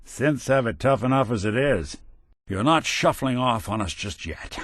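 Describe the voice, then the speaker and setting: A man's voice: medium pitch, lively intonation, moderate pace, a bit bright, dry recording. An actor playing a world-weary male synth detective in a video game, delivering dry, sardonic quips in a noir drawl. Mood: wry. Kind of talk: video game companion voice lines. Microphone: close studio mic.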